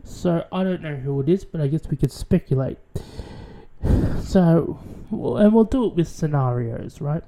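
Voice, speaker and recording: A man with an Australian accent, rambling through a casual radio monologue, swearing freely and restarting his sentences. His voice is very dark and mellow in tone. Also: on the high side, animated, a little slow, vocal fry. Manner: rambling, profane, many restarts. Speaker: radio show host.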